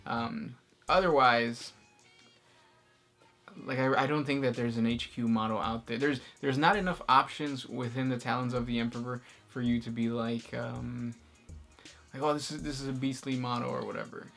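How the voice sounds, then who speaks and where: Bright-voiced joking man co-hosting. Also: medium pitch, fairly even intonation, normal speed, vocal fry, faint music behind. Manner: laid-back, joking, trailing off with ums. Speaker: co-host. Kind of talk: casual chat.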